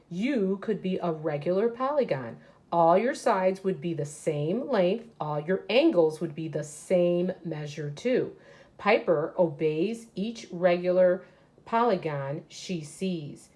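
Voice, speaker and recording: Female elementary teacher, slightly low voice, very unhurried, reading a picture book aloud with explanatory asides for children. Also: a little mellow. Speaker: third grade teacher.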